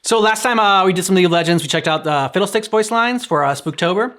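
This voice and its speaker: Man, reaction show co-host, quick pace, casual chat, informal. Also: high-pitched, fairly bright tone.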